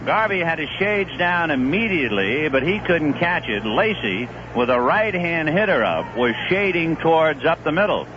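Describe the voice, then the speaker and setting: A man calling baseball play-by-play on a 1970s radio broadcast, in a steady, conversational manner. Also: normal speed, hardly any pauses. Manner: steady, conversational play-by-play with live pitch calls. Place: stadium broadcast booth. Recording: muffled 1970s AM radio broadcast with faint hiss.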